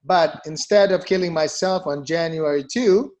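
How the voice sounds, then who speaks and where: A man's voice: fairly high pitch, normal speed, a little mellow. A middle-aged man from the Philippines, a company CEO, telling his personal story in an interview, emphatic and repeating phrases for stress. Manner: emphatic, repeats for stress, peppers talk with "you know" and "okay?".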